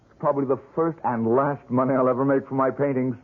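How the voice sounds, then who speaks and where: An actor playing a struggling, self-doubting and excitable painter, with intense 1970s radio drama delivery. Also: male voice, mid-range voice, fast talker, background noise, close to an everyday voice. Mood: excited. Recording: muffled old AM radio recording.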